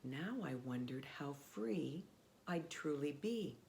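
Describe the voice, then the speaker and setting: A woman reading a children's storybook aloud in a measured, expressive way with pauses. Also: deep voice, gravelly, slight background noise.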